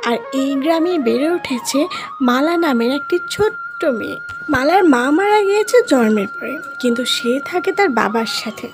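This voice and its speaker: A woman's voice: sits high, sing-song, moderate pace, music in the background. A woman narrating a scripted children's folk tale as a storyteller.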